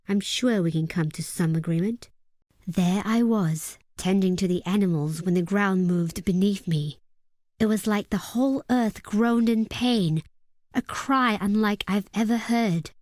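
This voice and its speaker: A woman's voice: mid-range voice, darkish tone, no room sound, close to an everyday voice. Woman acting proud, fierce desert warrior woman, dry recording. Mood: steady, businesslike. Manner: solemn, deliberate storytelling with formal phrasing. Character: fierce desert tribeswoman, proud warrior and weapons trader, bitter. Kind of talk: scripted video game character dialogue.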